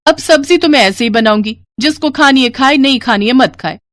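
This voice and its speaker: Woman voicing a higher-pitched young daughter-in-law. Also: a little fast, fairly bright tone, dry recording. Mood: defiant. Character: young Indian daughter-in-law, headstrong, whiny. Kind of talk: dramatised Hindi moral story.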